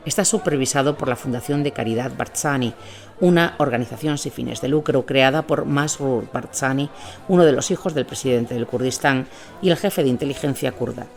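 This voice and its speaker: A man's voice: mid-range voice, gravelly. Male Spanish narrator over music. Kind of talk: scripted documentary narration. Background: music under the voice.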